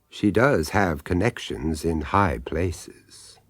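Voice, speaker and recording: An older man hosting and narrating a radio horror drama, relishing its dark irony with sly emphasis. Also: low voice, lively intonation, extremely slow, breathy edge, dry recording. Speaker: host and narrator.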